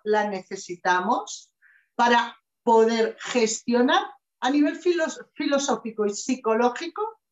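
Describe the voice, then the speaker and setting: A middle-aged woman giving a measured, emphatic inspirational talk on a video call a small office. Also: fairly high pitch. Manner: slow, measured and emphatic, with expressive hand gestures. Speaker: conference speaker. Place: small office with shelves, indoors. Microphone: webcam video call. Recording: echoey room, dull lo-fi sound.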